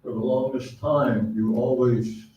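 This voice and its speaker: A man's voice: mid-range voice, fairly even intonation, measured pace, dark tone, slight background noise. A man speaking at public comment in a council meeting, blunt and complaining, with hesitant fillers. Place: echoey council chamber. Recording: dull, echoey hall from far off.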